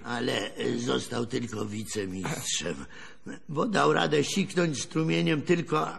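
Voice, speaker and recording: A man's voice: medium pitch, slow pace, creaky, fairly bright tone, slightly rough, heavily acted. An actor playing a folksy, wry old grandfather who tells rambling rustic tales in a radio play. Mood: amused, boastful. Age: older. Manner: rambling folksy storytelling, chuckling, rustic colloquial speech. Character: folksy old grandfather, railwayman's son, wistful and wry storyteller. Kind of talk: radio drama acting. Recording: long hall reverb.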